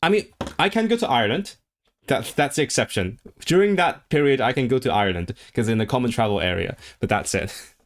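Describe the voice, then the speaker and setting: A man's voice: medium pitch, expressive, brisk. A man chatting casually on his own livestream, rambling with frequent restarts and fillers. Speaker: language streamer.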